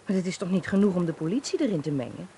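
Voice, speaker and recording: Sensible sceptical woman on holiday, radio play, animated pitch, bit noisy. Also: racing. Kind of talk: Dutch radio drama acting.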